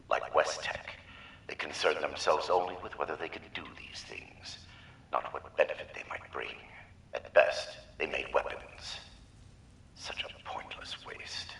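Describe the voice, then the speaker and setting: An actor voicing a brooding, scornful villain in a video game, speaking in a grave, deliberate, hushed way. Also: male voice, low voice, creaky, reverberant, exaggerated voice, low-quality recording. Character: brooding male villain, scornful of wasteful scientists.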